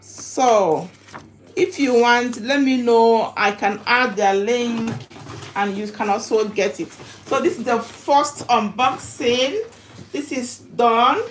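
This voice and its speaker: A woman chatting casually to her livestream viewers while unboxing, halting and repeating herself, recorded in a home kitchen. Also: fairly high pitch. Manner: halting, repetitive, chatty delivery. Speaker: livestream vlogger. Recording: noisy home recording with clicks.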